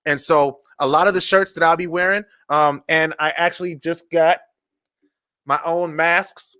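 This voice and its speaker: An American man hosting a casual live interview, speaking haltingly and repeating words as he thinks. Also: a little high, steady tone, pushed and bright, clear, resonant tone. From Charleston, United States. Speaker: talk show host.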